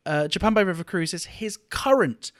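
A man co-hosting a casual podcast, telling stories in an animated, joking, mildly profane way. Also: sits high, moderate pace, no echo, clean studio sound. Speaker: podcast co-host.

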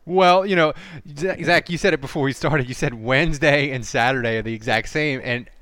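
A man's voice: mid-range voice, fairly quick, crowd noise. A man hosting a sports podcast, talking casually and reading sponsor spots. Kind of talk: casual sports talk and ad reads.

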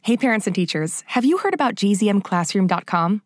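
A woman's voice: mid-range voice, lively intonation, brisk, dry recording, studio quality. A woman announcer reading a scripted promotional spot aimed at parents and teachers.

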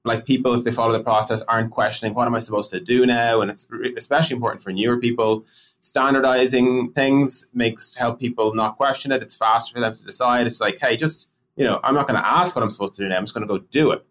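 A man's voice: mid-range voice, fairly even intonation, fast, fairly bright tone. A man co-hosting a casual podcast, talking animatedly and firing off rhetorical questions as he acts out colleagues. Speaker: podcast co-host discussing product.

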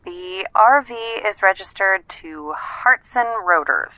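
A woman's voice: medium pitch, animated, takes it slowly, no room sound. Over a thin, tinny phone line comes a sardonic, efficient woman who assists a detective. She is wry and clipped, with a matter-of-fact delivery in a naturalistic audio drama. The sound is narrow and dry. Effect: telephone filter.

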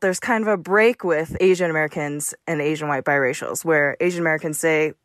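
A woman's voice: deep voice, fairly quick, no echo, studio quality. A woman professor answering interview questions in a thoughtful, academic way.

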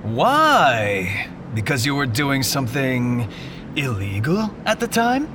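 An actor playing a suspicious, self-righteous police detective, confrontational and needling, in a science-fiction audio drama. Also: male voice, mid-range voice, huge pitch swings, slow pace, noisy background. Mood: challenging. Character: pushy, zealous male street cop, suspicious detective.

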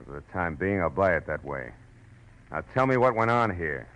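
An American actor playing a tough, wisecracking private detective in an old radio drama, terse and slangy. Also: male voice, low voice, big pitch swings, normal speed, fairly bright tone, exaggerated voice. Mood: suspicious. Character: hard-boiled, wisecracking, suspicious male private detective. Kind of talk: 1940s-50s radio detective drama acting, first-person narration and dialogue. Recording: noisy old radio broadcast recording.

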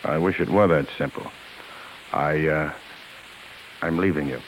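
A deep-voiced American man, proud but weighed down by guilt, in a 1950s radio drama. He speaks earnestly and haltingly. The old broadcast carries a light hiss. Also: low-quality recording. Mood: remorseful confession. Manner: hesitant, earnest. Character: guilt-ridden proud husband, struggling stage performer, earnest and self-reproaching.

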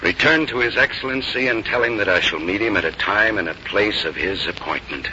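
A weary but resolute general with a deep, intensely forceful voice. He speaks with grave, formal oratory in the manner of 1950s radio drama, on a dulled old broadcast. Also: fairly quick. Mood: bitter. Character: weary, proud, resolute male revolutionary general. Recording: muffled old radio broadcast, faint noise.

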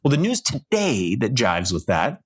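A man co-hosting a podcast, chatting casually about investing, with halting restarts and quick laughs. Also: mid-range voice, wildly swooping pitch, slightly rough. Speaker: podcast co-host.

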